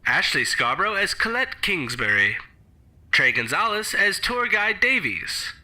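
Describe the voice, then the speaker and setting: A man's voice: high-pitched, very animated, unhurried, pushed and bright. A man reading scripted credits as the announcer of a show.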